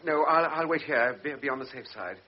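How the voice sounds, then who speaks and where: An actor playing an anxious young army lieutenant who talks nervously and urgently, sometimes stammering. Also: male voice, fairly high pitch, a little fast, breathy edge. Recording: dull old radio drama, faint hiss.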